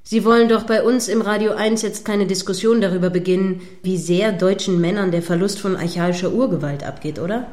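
A woman's voice: medium pitch, fairly quick, hardly any pauses, a little mellow, lightly acted. A woman playing a prim, combative radio news anchor in a radio play, speaking formally with pointed, sceptical irritation. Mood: sceptical, reproving. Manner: stern, pointed, formally articulate.

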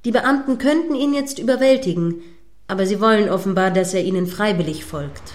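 A woman's voice: medium pitch, a little fast, slight background noise, natural voice. A woman playing an indignant, moralizing radio reporter giving live commentary on a standoff in a radio play. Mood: tense commentary.

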